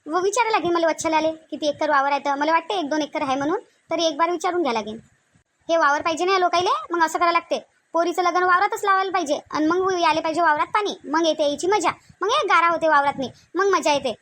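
Woman acting a shrill, fast-talking nagging village wife. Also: a bit bright. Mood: grumbling. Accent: Varhadi Marathi of Vidarbha. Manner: complaining, scolding, rhetorical questions. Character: sharp-tongued, nagging middle-aged village wife and mother-in-law. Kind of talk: comic cartoon dialogue.

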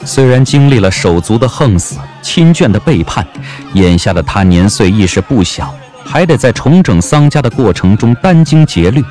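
A man narrating a novel as an audiobook, with measured, literary and dramatic phrasing. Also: medium pitch, big pitch swings, dark tone. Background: background music.

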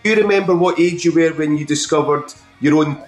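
A male show host asks his guest friendly questions, often stopping and restarting mid-sentence. His voice sits a little higher than usual for a man and has a roomy echo from a video call. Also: faint music behind. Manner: friendly, halting questions with fillers. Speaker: podcast host. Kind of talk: interview hosting.

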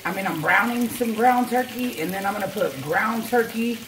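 A woman's voice: fairly high pitch, normal speed. A woman, casually talking through cooking steps for a home vlog, recorded on a camera in her kitchen. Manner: informal, step-by-step explaining. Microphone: camera on counter or at arm's length. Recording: noisy kitchen with frying and clatter.

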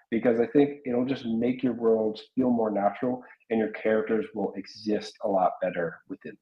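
An American man in his thirties explaining things informally as an art instructor in an online workshop, talking through examples. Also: on the low side. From South Carolina, United States. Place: small home room, indoors. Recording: roomy, slightly dull webcam audio.

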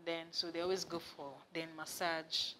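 A young Nigerian woman with a Nigerian accent, a massage therapist chatting as an interview guest and telling stories with animated bursts, recorded in a studio. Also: moderate pace, a little hiss or hum, rough recording. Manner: animated storyteller, rises loud and high when excited. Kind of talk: casual interview chat. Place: studio set with sofa, indoors.

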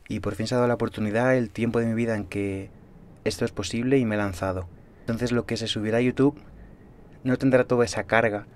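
A young man from Spain with a Barcelona Spanish accent, telling his travel diary in a calm, thoughtful and personal way. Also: fairly low pitch. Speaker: travel vlogger. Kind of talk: reflective travel diary narration. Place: small bedroom in a hostel.

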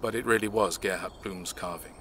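A man acting in an audio drama, playing a reserved, measured man who recounts his family's past in a reflective way. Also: low voice, fairly even intonation, bright, forceful tone, a little hiss or hum.

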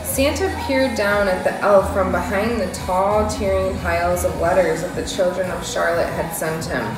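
Woman reading a kids story slowly, super echoey, music playing over it. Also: on the low side, fairly even intonation. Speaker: mother reading to her daughter. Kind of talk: expressive bedtime-story reading. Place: living room sofa, indoors. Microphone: camera a few feet away.

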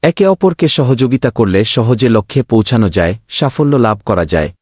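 A man's voice: mid-range voice, very animated, fairly quick, darkish tone, dry recording, studio quality. A man narrating a children's cartoon fable in a scripted storytelling style.

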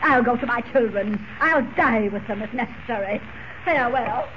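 An actress playing a flustered, high-strung orphanage matron, fussy and indignant, on a muffled old radio recording with hiss. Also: female voice, normal speed, close to an everyday voice. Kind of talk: 1940s radio acting.

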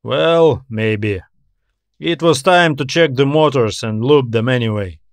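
A man voicing a gruff, evasive male space station mechanic in audiobook dialogue, offhand and hesitant. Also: mid-range voice, unhurried, no echo, lightly acted. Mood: gruff, guarded.

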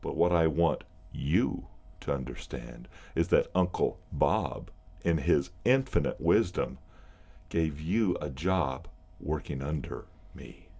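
An actor playing a stern, exasperated middle-aged radio program director in an audio drama, clipped and authoritative. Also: male voice, extremely low pitch, animated, takes it slowly, creaky, warm tone, breathy edge, exaggerated voice.

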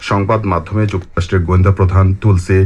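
A man's voice: deep voice, level tone, racing, darkish tone. A man reading scripted news formally, heard from a radio playing in a room. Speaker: news reader. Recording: radio played in room, slight noise.

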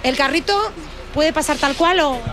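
A Spanish woman in her thirties reporting from a city bus with a handheld mic, asking lively questions. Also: high voice, normal speed. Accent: Spanish from Spain. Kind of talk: on-the-street news report with voice-over. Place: city bus and bus stop, outdoors.

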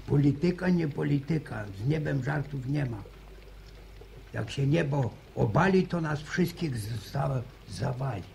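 A gravelly old village grandfather. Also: mid-range voice, expressive, darkish tone. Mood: stubborn. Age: older. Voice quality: gravelly, halting restarts. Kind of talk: 1950s radio drama acting. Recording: noisy old radio broadcast recording.

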